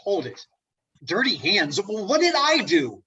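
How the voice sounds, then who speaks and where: A woman's voice: mid-range voice, big pitch swings, measured pace, a bit bright, a little hiss or hum. A woman playing an exasperated, argumentative young man, indignant and sarcastic, in a comic script reading. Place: remote video call.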